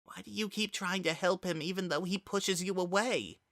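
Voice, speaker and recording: A man voicing a lost, melancholic young spirit with a soft, hesitant delivery in a storybook reading. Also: high voice, moderate pace, dry recording, studio quality, pitched above the performer's own voice. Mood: sad, confused. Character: lost, sentimental young spirit, wistful and confused.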